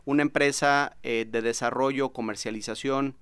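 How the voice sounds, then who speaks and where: A Mexican man hosting a podcast from a small recording booth, speaking in a smooth voice with a mid pitch. The sound is dry and close. His style is friendly and loose, with easy laughter and plenty of "este" fillers. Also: fairly even intonation, normal speed, fairly bright tone, dry recording. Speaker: podcast host. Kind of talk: podcast interview.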